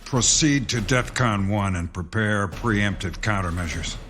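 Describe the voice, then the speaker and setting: An actor playing a brash, battle-hardened American general in a radio drama. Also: male voice, lowish voice, unhurried, music in the background, natural voice. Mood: curt, commanding.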